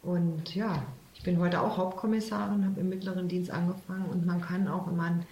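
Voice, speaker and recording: A woman's voice: fairly low pitch, little pitch movement, a little fast, a little mellow. A woman, a detective chief inspector, explaining steadily in an interview. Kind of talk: casual interview talk. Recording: echoey room.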